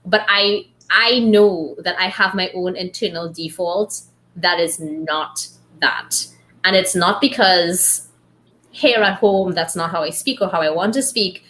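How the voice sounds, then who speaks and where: A woman from Trinidad with a light Trinidadian accent, hosting a casual book club chat and telling lively stories with laughs and acted-out quotes. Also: lowish voice, a little slow, rough recording. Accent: light Trinidadian, trained toward neutral. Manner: animated storyteller, laughs often, acts out quotes.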